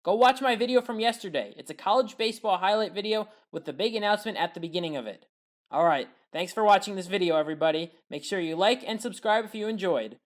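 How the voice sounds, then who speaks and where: A woman with a mid-pitched voice narrates sports highlights from a script. She recaps plays in short, matter-of-fact phrases, as a commentator who hosts her own breakdowns. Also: expressive, fast.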